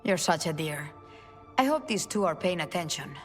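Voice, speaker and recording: Low female voice, actress as wry assassin matriarch, commanding, motherly, game dialogue, quiet music. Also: animated, moderate pace, lightly acted. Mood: wry, teasing. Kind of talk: video game voice acting.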